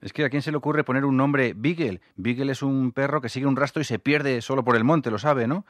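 A man from hosting a radio interview, asking questions in a relaxed, teasing way. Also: medium pitch, a little fast, dry recording. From Europe. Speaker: radio host.

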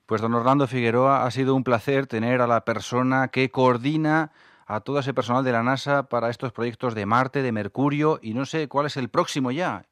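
A man from hosting a radio interview, asking questions in a relaxed, teasing way. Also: mid-range voice, normal speed, no room sound. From Europe. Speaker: radio host.

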